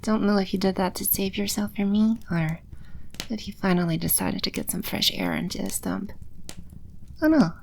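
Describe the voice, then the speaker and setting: A woman playing a haughty, scornful female demon in a scripted audio roleplay, condescending and sardonic, with breathy edges to her voice. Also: mid-range voice, moderate pace, a little mellow, a little hiss or hum, close to an everyday voice.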